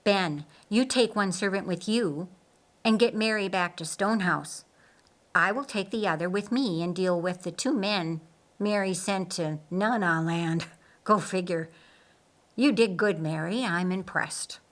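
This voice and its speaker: A woman voicing a commanding, coaxing uncle in an audiobook story, measured and in charge. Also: on the low side, natural voice. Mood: commanding, approving. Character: commanding, coaxing uncle, seasoned man of action.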